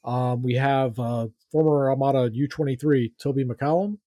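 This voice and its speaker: A man's voice: medium pitch, moderate pace, warm tone, dry recording, clean studio sound. A man hosting a casual fan podcast, joking around and using lots of fillers. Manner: loose, filler-heavy, jokey. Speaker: podcast host.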